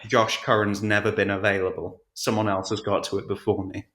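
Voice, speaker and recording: A man chatting casually as an interview guest, hesitant and low-key, with a roomy sound around his voice. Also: level tone, a little fast, a bit bright, a little hiss or hum. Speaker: podcast guest, audiobook narrator. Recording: echoey, roomy voice pickup.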